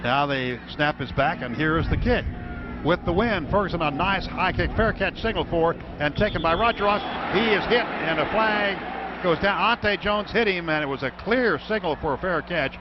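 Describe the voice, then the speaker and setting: A man's voice: high voice, normal speed. A man doing live play-by-play football commentary as a radio announcer. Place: stadium broadcast booth. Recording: noisy, dull radio broadcast recording.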